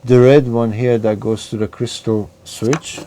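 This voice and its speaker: Mellow-voiced man giving a hands-on tutorial. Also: mid-range voice, steady tone, unhurried. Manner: hesitant, unscripted, talking while working. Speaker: radio repair demonstrator. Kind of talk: informal hands-on step-by-step tutorial. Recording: clear background noise, handling clicks.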